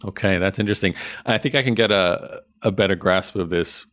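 A man's voice: low voice, a little fast, a bit bright. A man hosting a podcast interview, asking long conversational questions. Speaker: podcast host.

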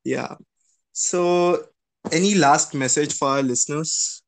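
A man's voice: fairly high pitch, takes it slowly. A young man hosting a podcast, asking rambling questions and reacting sympathetically. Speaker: podcast host. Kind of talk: casual interview chat.

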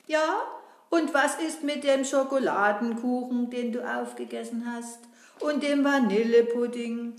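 A woman voicing a cheeky, lively puppet boy who chats and teases, talking to the audience. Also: on the high side, measured pace. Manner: chatty, teasing, addresses the audience. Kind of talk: puppet-show acting. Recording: roomy echo.

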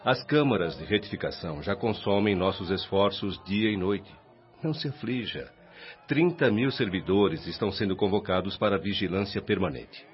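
A fatherly Brazilian mentor in a radio play, with a fairly low voice. The tone is good-humoured and reassuring, as if counselling a younger friend, and the sound is a little dull. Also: expressive, moderate pace. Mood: gently amused. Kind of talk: radio drama dialogue.

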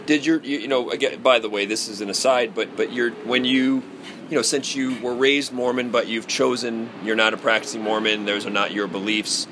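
A male interviewer asking long, halting questions that he rewords as he goes. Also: mid-range voice, fairly even intonation, background noise.

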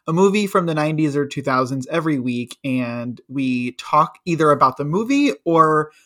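A man's voice: fairly high pitch, animated, normal speed, bright, forceful tone. A young man guest co-host on a podcast call, laid-back and teasing, laughing often. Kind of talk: casual chat. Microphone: video call.

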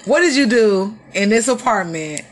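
A woman hosting a casual podcast from an apartment, chatty and quick to laugh, talking to her listeners as "y'all.". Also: medium pitch, animated, slight background noise. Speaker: podcast host. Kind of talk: casual chat.

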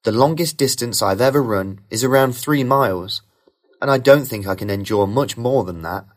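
A man reading rehearsed model answers for a speaking test in a smooth, even way. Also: mid-range voice, moderate pace. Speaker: sample test candidate.

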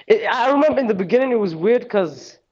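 A man's voice: very high-pitched, animated, fast, darkish tone, breathy edge. Hesitant young Englishman, dull lo-fi sound. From England. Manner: rambling, hesitant, many fillers and restarts. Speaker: guest, wrestler. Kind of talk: casual interview chat.

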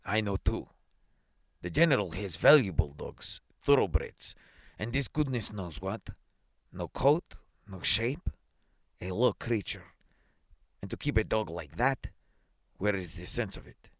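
A man's voice: lowish voice, animated, a little slow, pauses often, no echo, clean studio sound. A man voicing a stern, pompous police superintendent in a story reading, barking orders and questions. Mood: dismissive. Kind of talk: dramatised short story reading.

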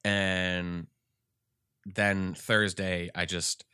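Low, congested male co-host, bright and clear, dry sound, rambling gamer chat. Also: drawn-out words, dry recording. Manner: rambling, animated retellings. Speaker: podcast co-host. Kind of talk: casual gaming chat.

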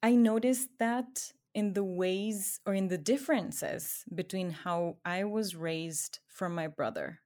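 A woman's voice: mid-range voice, slow, hardly any pauses, darkish tone. A woman from Ecuador, probably in her thirties or forties, talking casually and expressively in an informal conversation.

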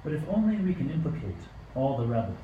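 A man's voice: medium pitch, sing-song, narrow, tinny audio, close to an everyday voice. Man acting a scheming old king, roomy and distant. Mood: bitter, plotting. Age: older. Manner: regal, theatrical, deliberate. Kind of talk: amateur radio play acting. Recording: roomy, distant mic with background hiss.

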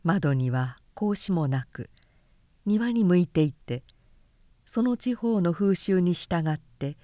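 A woman narrating literary fiction aloud as an audiobook, with measured, deliberate phrasing and pauses. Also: fairly low pitch, animated, warm tone, no room sound, studio quality. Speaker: narrator reading a novel aloud.

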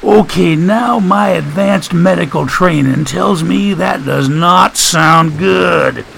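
Folksy wisecracking guy played by a male narrator, high-pitched, mock-pompous, theatrically sarcastic, for a storybook. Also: slow, vocal fry, a bit bright, slight background noise, full character voice. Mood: mocking, exasperated. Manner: mangled big words, theatrical sarcasm.

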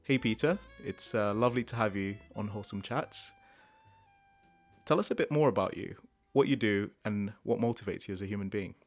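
A male podcast host with a fairly low voice, speaking in an earnest, carefully worded way. Faint guitar music plays softly behind him. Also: a little fast. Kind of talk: scripted introduction then casual chat.

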